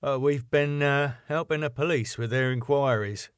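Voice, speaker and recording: A weary, sheepish sitcom club promoter, acted by a male reader. He talks evasively and haltingly, close and dry on the recording. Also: mid-range voice, clear, resonant tone, no echo, natural voice. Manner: hesitant, halting. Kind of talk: sitcom script reading.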